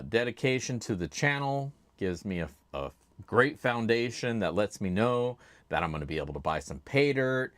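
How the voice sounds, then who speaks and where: A chatty man hosts his own livestream from a home setup, reading out names from the chat. His voice is loud and sits fairly low, but it rises and falls a lot. The desk mic sounds close and dry. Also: no room sound. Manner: chatty, laid-back, reads chat names aloud. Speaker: livestream host. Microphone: desk microphone.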